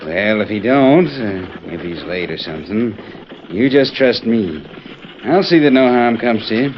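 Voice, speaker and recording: Sly, swooping Western swindler. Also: male voice, fairly low pitch, lively intonation, unhurried. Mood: insinuating warning. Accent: American Western. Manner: hesitant, insinuating. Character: sly, overfriendly Western swindler, pushy and halting. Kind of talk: old-time radio western acting. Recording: muffled, noisy old radio broadcast.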